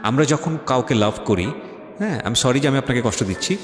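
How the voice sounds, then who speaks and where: Fast-talking Bangladeshi male host, echoey. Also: medium pitch, lively intonation. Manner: emphatic, insistent, argumentative, code-switches into English. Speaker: radio show host. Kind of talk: talk show interview, advising. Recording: echoey hall reverb, faint music. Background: faint background music.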